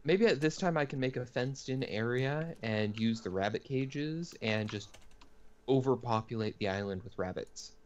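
Laid-back mid-pitched male gamer. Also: moderate pace, highs cut off. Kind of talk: casual co-op gameplay chat.

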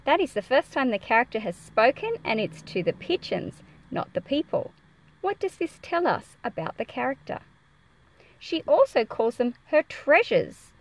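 Aussie woman doing a kids picture book readaloud, animated, explains stuff and asks questions, highish voice. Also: moderate pace, rough recording. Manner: expressive, explanatory, addressing young listeners with questions. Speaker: read-aloud presenter teaching children. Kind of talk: picture book read-aloud with explanations for children.

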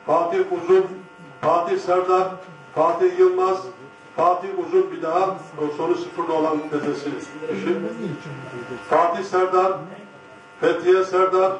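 A middle-aged man formally reading out a list in short, separate, monotone phrases. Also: high-pitched, a little hiss or hum. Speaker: official reading out names. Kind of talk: formal roll call of names. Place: large conference hall, standing on stage. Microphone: handheld microphone. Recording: echoey hall PA from far off.

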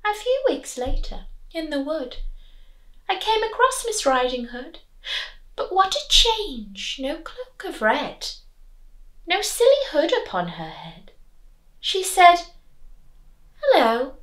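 A young woman teacher reading and chatting expressively to children, clearly enunciated. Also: sits high, very animated, slow pace, fairly bright tone. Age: young adult. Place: small living room, on a sofa.

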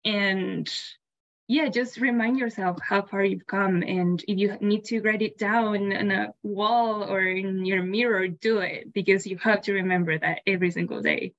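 Woman, grad student, reassuring advice, mid pitch, lo-fi muffled recording. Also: fairly even intonation, a little slow. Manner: warm, reassuring, direct. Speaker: graduate student panelist. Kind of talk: casual advice on a panel.

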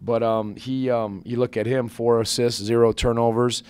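A man in his forties, a basketball head coach answering questions at a postgame press conference into a table microphone, measured and earnest with many fillers and restarts. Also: medium pitch, little pitch movement, darkish tone, clear, resonant tone. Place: press conference room with sponsor backdrop.

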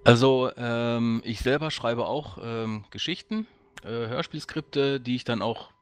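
A man's voice: medium pitch, measured pace, smooth. A man in a casual podcast chat, talking in a self-deprecating way about his work. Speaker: audio drama writer and producer.

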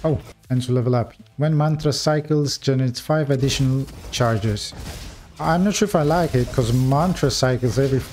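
A man's voice: mid-range voice, normal speed, a little mellow, a little hiss or hum. A man with a non-native English accent giving casual gameplay commentary, reading upgrade text aloud and chatting to viewers.

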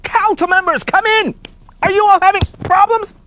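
A woman's voice: high voice, big pitch swings, a little slow, slight background noise, pitched above the performer's own voice. A woman voicing a pompous, irritable male chief who whines about losing sleep and barks orders at his court. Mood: frantic. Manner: whiny, demanding, escalating to frantic shouts.

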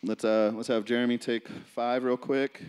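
A man's voice: medium pitch, steady tone, normal speed, darkish tone, clear, resonant tone. A man leading an informal live gym seminar as a coach, casual and motivational, talking to a group. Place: large indoor gym, speaking to seated group. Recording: reverberant gym hall, distant camera.

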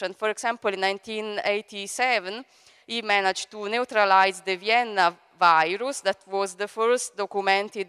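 A woman's voice: medium pitch, clean tone. A woman in her forties with an Italian accent, hosting a conference and introducing speakers on stage with many fillers, into a handheld mic. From Italy. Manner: hesitant, many fillers, warm thanks. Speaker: event director and host. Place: large event hall on stage. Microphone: handheld microphone. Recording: long hall reverb.

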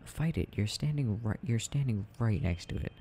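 A young man playing an affectionate, gently teasing boyfriend in an ASMR audio roleplay, murmuring and rambling as he games. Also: on the low side, expressive, moderate pace, slight background noise. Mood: absorbed, rambling.

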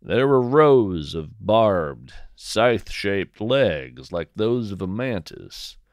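A man narrating scripted first-person fiction as a podcast host, with deliberate, descriptive, measured phrasing. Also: fairly low pitch, very animated, dry recording, clean studio sound.

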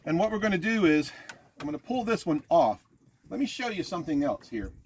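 Lively older man on a motorboat. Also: fairly high pitch, brisk. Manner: lively, rambling, self-correcting. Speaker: boat builder demonstrating motors. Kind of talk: casual product demo. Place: small open boat on a lake, outdoors. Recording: outdoor boat noise. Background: motor and water noise.

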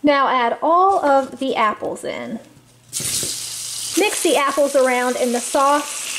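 Higher-voiced woman talking through home cooking. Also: expressive, takes it slowly, noisy background. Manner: friendly step-by-step instructions with fillers. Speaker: cooking channel host. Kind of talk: casual cooking voice-over. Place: home kitchen.

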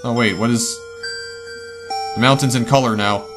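Male let's-player, slightly hoarse, casual exclamation-heavy commentary, faint music underneath. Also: mid-range voice, fairly even intonation, moderate pace. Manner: chatty, easily startled, lots of exclamations. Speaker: let's play gamer.

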